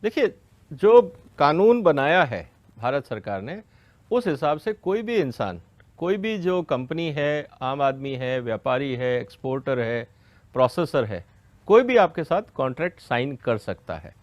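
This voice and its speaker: Animated man explaining things step by step. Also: medium pitch, a little slow, dry recording. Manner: didactic, step-by-step, with repeated check-ins. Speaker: expert explaining a law to farmers. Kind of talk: educational question-and-answer explanation.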